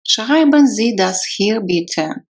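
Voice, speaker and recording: A woman with a mid-pitched, slightly breathy voice leads a language drill at a very slow pace. She says each short phrase several times in a measured, patient way and follows it with a translation. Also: lively intonation, a little mellow. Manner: repeats each phrase slowly, then gives the translation. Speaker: language teacher.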